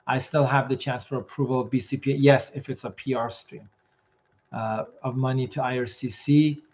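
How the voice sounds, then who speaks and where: A man hosting a live question session as an immigration consultant, reading viewer comments aloud and giving quick, matter-of-fact answers while losing his voice. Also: mid-range voice, level tone, a little mellow.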